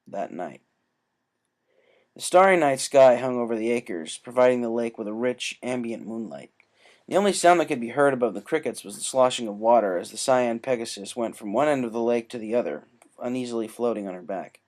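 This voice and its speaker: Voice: medium pitch, animated, brisk, a little mellow. A narrator reading scripted fiction aloud as a storyteller.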